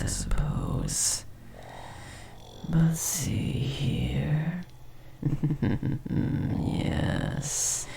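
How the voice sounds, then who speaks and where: A man voicing a possessive, menacing insectoid parasite devoted to his mate in a horror audio roleplay, with a breathy, gravelly whisper and a condescending manner. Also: medium pitch, a little mellow, a little hiss or hum, heavily acted. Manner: slow, sinister, coolly condescending, tender about family.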